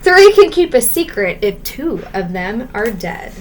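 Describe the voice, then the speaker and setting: A man's voice: very high voice, big pitch swings, measured pace, a little hiss or hum, heavily acted. A woman voicing a clever, preachy man in a storybook who hands out proverbs in a pointed, sententious way. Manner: sententious, pointed advice-giving.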